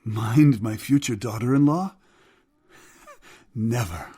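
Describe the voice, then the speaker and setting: An actor playing a frail, loving elderly father in an audio drama, proud yet hesitant and tender, with a husky voice. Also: mid-range voice, takes it slowly, dark tone. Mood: fond. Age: older.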